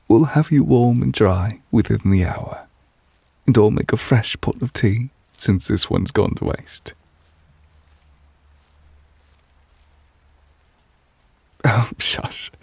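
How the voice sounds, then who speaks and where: A man playing a devoted, possessive yandere witch in an intimate ASMR roleplay, speaking softly and breathily, often near a whisper. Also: mid-range voice, gravelly, husky, no room sound, heavily acted. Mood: playfully flustered. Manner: slow, tender, murmured endearments.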